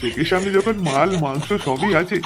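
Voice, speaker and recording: A man voicing an excitable, easily swayed village father in a comic cartoon, speaking in exclamations and questions. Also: fairly high pitch, a little fast, background noise, lightly acted. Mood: coaxing. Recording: music clearly behind the voice.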